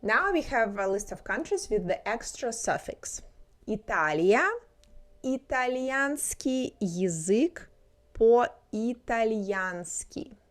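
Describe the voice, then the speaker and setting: A woman narrating a scripted language lesson, explaining grammar slowly and carefully. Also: on the high side, lively intonation. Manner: slow, carefully spelled-out explanations. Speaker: language teacher.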